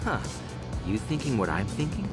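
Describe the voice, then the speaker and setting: A man's voice: medium pitch, sing-song, normal speed, loud music behind the voice, natural voice. A man playing an eager, scheming martial artist sidekick in a video game cutscene.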